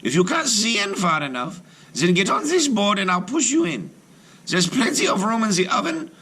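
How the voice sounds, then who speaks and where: A man voicing a gnarled, greedy old witch in a high, crackly voice for a read-aloud storybook. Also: big pitch swings, normal speed, sharp tone, full character voice. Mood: coaxing.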